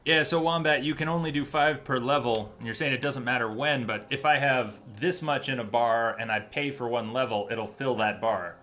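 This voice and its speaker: A man's voice: mid-range voice, moderate pace, few pauses, fairly bright tone. A man streaming a video game with casual commentary, thinking aloud as he plays. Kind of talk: casual live playthrough commentary. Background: game music.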